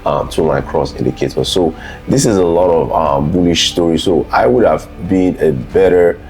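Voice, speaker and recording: A man's voice: sits low, normal speed, a little mellow, soft background music, poor audio. A man giving an unscripted instructional walkthrough as a tutor, methodically explaining and repeating key points. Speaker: host, trading tutor.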